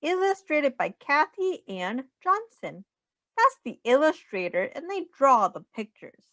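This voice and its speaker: A woman's voice: sits high, big pitch swings, measured pace, a bit bright, dry recording. A woman reading a children's picture book aloud in a sing-song way, as a teacher speaking simply to young children.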